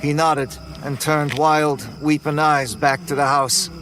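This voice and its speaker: A man telling a first-person horror story in a tense, measured way. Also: a little high, flat delivery, over music. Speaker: narrator.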